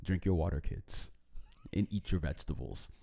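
A man co-hosting a podcast, chatting casually about a movie in a rambling way, with many restarts and a throat that is going hoarse. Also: sits low, normal speed. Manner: rambling, repeats and restarts, animated. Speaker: podcast co-host. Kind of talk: casual movie review chat.

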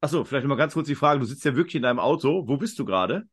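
A man's voice: medium pitch, lively intonation, very fast, breathy edge. A man hosting a quiz podcast in a casual, talkative and rambling way. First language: German.

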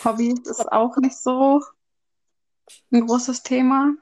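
A woman's voice: high-pitched, little pitch movement, takes it slowly, darkish tone. A woman in her early twenties in a casual, unscripted podcast chat, speaking in a slightly tentative way. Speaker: podcast co-host.

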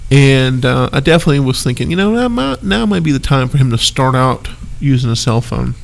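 A man's voice: mid-range voice, very animated, fairly quick, creaky. A man in his thirties with a stuffed-up nose, co-hosting a casual podcast chat in an easygoing, rambling way. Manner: easygoing, laughs often, rambling asides. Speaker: podcast co-host.

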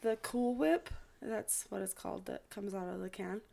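A woman's voice: mid-range voice, moderate pace, vocal fry, warm tone. A young woman co-hosting a podcast, tired and playful in casual chat. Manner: laughing, playful. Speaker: podcast co-host.

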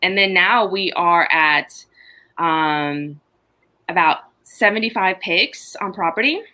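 A woman's voice: lowish voice, slow. A middle-aged woman from Honduras, a farmer chatting casually and telling stories about her farm. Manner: chatty, animated storytelling with laughs. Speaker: farmer, podcast guest. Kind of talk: casual chat.

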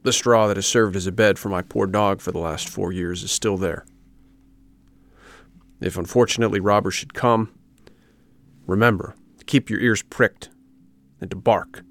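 A man's voice: lowish voice, fairly even intonation, normal speed, pauses often, natural voice. A man voicing an angry storybook peasant farmer, stern and commanding, with a threatening way of talking. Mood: gruff instruction.

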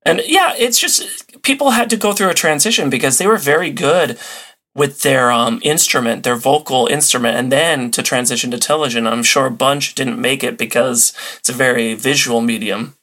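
Male podcast guest, mid-range voice, casual explainer with a halting, thinking-aloud delivery. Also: mid-range voice, sharp tone. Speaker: podcast guest, old-time radio enthusiast.

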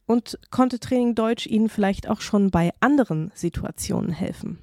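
A woman co-hosting a library podcast, asking interview questions in a friendly, measured way. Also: mid-range voice, dark tone, dry recording, clean studio sound.

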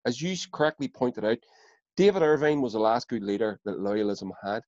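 A man's voice: medium pitch, expressive, brisk, darkish tone, no echo. A Northern Irish man talks politics in casual chat, swearing freely and tripping over repeated words when worked up. The sound is close and dry, slightly dull on top. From Northern Ireland.

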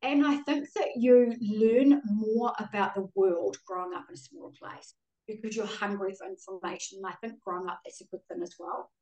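A woman's voice: medium pitch, steady tone, normal speed, warm tone. A middle-aged woman with a New Zealand accent, chatting candidly and casually as a podcast guest in an effusive, colloquial way. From Auckland, New Zealand. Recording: roomy echo, distant from mic.

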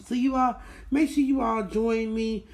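A woman's voice: a little high, fairly even intonation, a little slow, a little mellow. A woman hosts a casual book club podcast, talking in a folksy, emphatic way and repeating key words for stress. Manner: folksy, addresses listeners as y'all. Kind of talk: casual chat, recapping a book.

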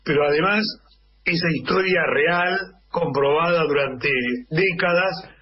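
A man's voice: a little high, unhurried, dull audio, slight background noise. A man with an Argentine accent co-hosting a radio interview, asking long, reflective questions.

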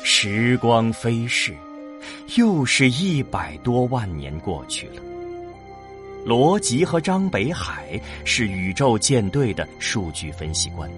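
A man's voice: mid-range voice, very animated, slow. A man narrating a scripted science-fiction story in a dramatic radio style. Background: music.

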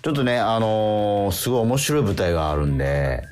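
A man's voice: lowish voice, sing-song, brisk, a little mellow. A man hosting a casual radio interview as he chats with a guest. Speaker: radio show host and actor. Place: radio studio. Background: background music.

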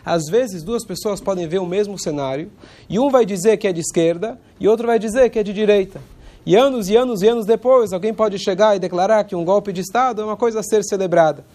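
Guy w a cold, kinda hoarse, mellow voice, chatty religious lesson. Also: sits high. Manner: conversational, pauses to search for words. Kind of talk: informal Torah lesson.

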